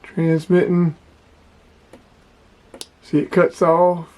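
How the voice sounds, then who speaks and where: A man's voice: fairly high pitch, flat delivery, drawn-out words, darkish tone, a little hiss or hum. A man casually demonstrating gear as a hobby vlogger, explaining things in a plainspoken way.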